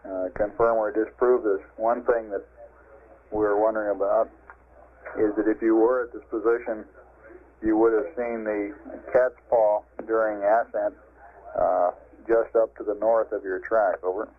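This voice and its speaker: A man's voice: lowish voice, little pitch movement, a little slow. A man at mission control speaking over radio in clipped, businesslike procedural phrases. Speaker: mission control capsule communicator. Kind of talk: procedural space-to-ground radio exchange. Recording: narrow tinny 1960s radio link.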